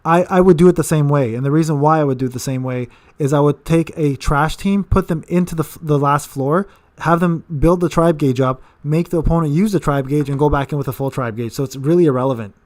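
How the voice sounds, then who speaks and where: A man's voice: fairly high pitch, brisk, few pauses, darkish tone. A man in his thirties hosting a casual live-stream Q&A, rambling with repeated words and fillers. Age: 30s. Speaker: gaming channel host.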